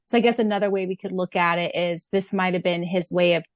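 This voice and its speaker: A woman's voice: fairly low pitch, steady tone, fast talker, dry recording, studio quality. A young adult woman co-hosting a podcast, talking casually in a fan discussion. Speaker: podcast co-host and audiobook narrator.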